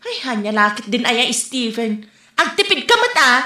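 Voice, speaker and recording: A woman's voice: on the high side, big pitch swings, normal speed, sharp tone. A woman playing a lazy, shameless elder sister and mother with a whiny, brazen manner in a comedy audio drama. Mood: irritated. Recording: echoey, roomy sound.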